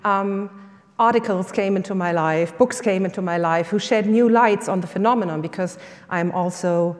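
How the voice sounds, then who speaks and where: A woman's voice: mid-range voice, long reverb tail. A middle-aged woman with a German accent gives a conference talk in English, enthusiastic, with many fillers and restarts. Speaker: summit speaker, lecturer and coach.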